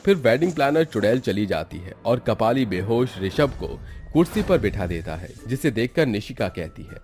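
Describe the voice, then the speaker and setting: A man's voice: mid-range voice, very animated, moderate pace, darkish tone, soft background music. A man narrating a scripted cartoon story as its storyteller.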